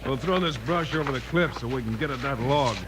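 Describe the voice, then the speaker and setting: A man's voice: medium pitch, fairly quick, lightly acted. An actor playing a level-headed, plain-spoken Western lawman hero in an old-time radio drama. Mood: steady, practical. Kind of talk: 1940s-50s Western radio acting. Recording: very noisy old radio recording.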